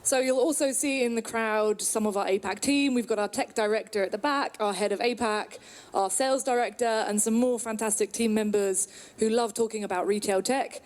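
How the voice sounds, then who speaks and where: A British woman in her thirties, from the UK, giving an upbeat presentation at a trade conference with some filler pauses. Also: a little high. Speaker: conference speaker. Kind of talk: presentation talk.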